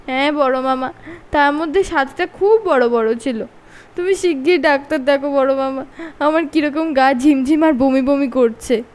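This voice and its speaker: A woman's voice: high voice, normal speed, natural voice. A woman with a Bengali accent voicing a greedy, fearful young boy in a children's story. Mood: crying.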